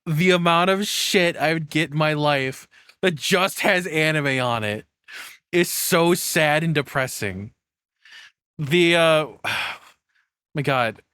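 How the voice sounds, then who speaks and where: A man's voice: fairly high pitch, sharp tone, clean tone, no echo, studio quality. A man giving casual, rambling reaction commentary, often repeating himself as he thinks aloud and dropping the odd swear. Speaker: streamer reacting to a video.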